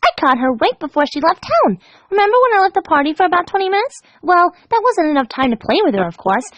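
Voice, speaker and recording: Manic female pony villain, put on by a woman reader, fan-fiction horror; squeaky-high, animated, cheerfully cruel and childish. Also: quick pace, dry recording, muffled recording, heavily acted. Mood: gleeful. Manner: bubbly, chatty, childish glee with menace. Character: manic, cheerful female pony who gleefully torments her friend.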